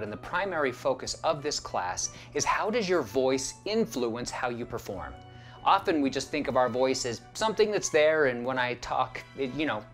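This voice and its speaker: Male professor in his 30s, encouraging lecture tone, studio set, soft music under. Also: mid-range voice. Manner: upbeat and encouraging. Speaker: acting professor. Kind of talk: lecture to camera.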